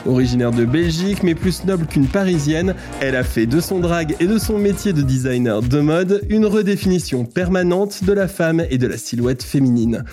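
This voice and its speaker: A man's voice: on the high side, lively intonation, moderate pace, few pauses, dark tone, soft background music. A man hosting a podcast interview, playful and teasing, fond of flowery introductions. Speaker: podcast host.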